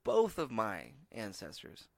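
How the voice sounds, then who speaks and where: A man's voice: on the low side, wildly swooping pitch, takes it slowly, gravelly, no room sound. A man chatting casually as a podcast co-host, rambling and restarting, with a lot of swearing. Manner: rambling storyteller, frequent restarts and profanity. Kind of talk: casual chat.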